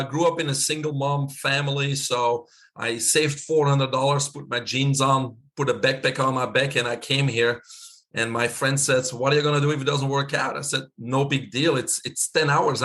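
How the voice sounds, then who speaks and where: A middle-aged man from Belgium with a Belgian accent, a podcast guest telling his story in a relaxed way, with frequent filler pauses. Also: mid-range voice. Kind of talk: podcast interview.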